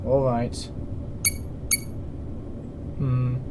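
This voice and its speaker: Male security officer, mid-pitched, formal, officious, suspicious, sci-fi audio drama, clear background hum. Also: completely flat delivery, drawn-out words, narrow, tinny audio.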